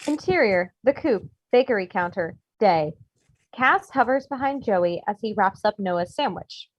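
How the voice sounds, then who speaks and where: A woman's voice: mid-range voice, animated, unhurried, no room sound. Female narrator for comedy script directions; dry, slightly dull recording.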